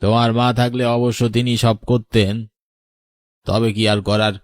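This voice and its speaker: A man's voice: mid-range voice, little pitch movement, moderate pace, no room sound, studio quality, close to an everyday voice. A man playing an earnest, solemn villager in a cartoon drama. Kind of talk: Bengali cartoon story voice acting.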